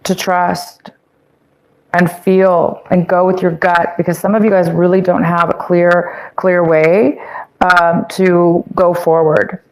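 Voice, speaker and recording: A woman's voice: lowish voice, level tone, slow pace. A young woman who is losing her voice, giving a casual, rambling tarot reading to her viewers and stumbling over words. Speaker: tarot reader.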